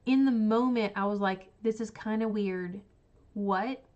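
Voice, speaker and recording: A woman's voice: medium pitch, unhurried, darkish tone, muffled recording. Chatty woman with a cold. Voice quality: sniffly. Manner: rambling, chatty, full of likes and ums. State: congested from a cold. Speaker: book channel host. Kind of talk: casual livestream chat.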